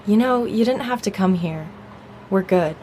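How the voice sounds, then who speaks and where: An actress plays an easygoing young barista in an audio drama who ribs her friend in a relaxed, teasing way. Her voice sits at a middling height. A faint hiss of noise sits behind her. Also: medium pitch, normal speed, natural voice.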